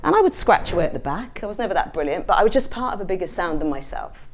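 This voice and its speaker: A woman's voice: on the low side, big pitch swings, fast talker, a little hiss or hum. A middle-aged woman with a southern English accent, giving an informal, reflective personal talk to an audience in a conversational way. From England.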